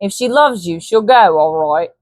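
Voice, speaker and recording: A scheming Cockney hunter and trader, voiced by a woman reading aloud, coaxing and ungrammatical in his talk. He sounds bold and a touch high. The voice sits close and dry, with no room around it. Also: lively intonation, moderate pace, a little mellow, no echo, lightly acted. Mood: wheedling. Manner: sly, persuasive, ungrammatical.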